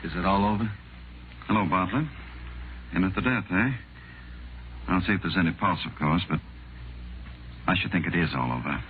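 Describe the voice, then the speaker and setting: A man's voice: deep voice, moderate pace, long pauses, natural voice. An actor playing a composed, coolly callous male doctor in a 1940s radio drama. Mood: coolly businesslike. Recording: noisy, tinny 1940s radio recording.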